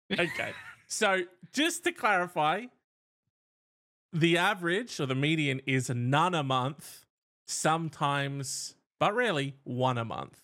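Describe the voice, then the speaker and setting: A man's voice: on the high side, very animated, slow pace, bright, forceful tone. A man co-hosting a comedy podcast, teasing his co-host in a sardonic way and swearing freely. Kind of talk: casual comedic chat.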